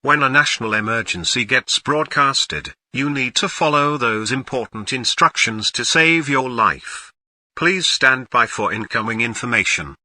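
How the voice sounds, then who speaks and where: A man's voice: mid-range voice, animated, a little slow, sharp tone, no echo. A man making a formal, scripted emergency broadcast announcement.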